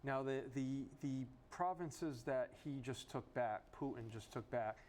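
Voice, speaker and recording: An opinionated man co-hosts a political talk show at an ordinary male pitch. He keeps making his case with rhetorical questions, and faint background noise sits under his voice. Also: measured pace, a little mellow. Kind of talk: casual political chat.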